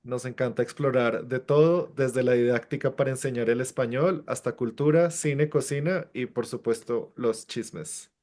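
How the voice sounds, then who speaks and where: A man co-hosting an interview podcast, reading a scripted introduction and asking questions at a measured pace with filler sounds in between. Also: medium pitch, darkish tone. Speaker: podcast co-host.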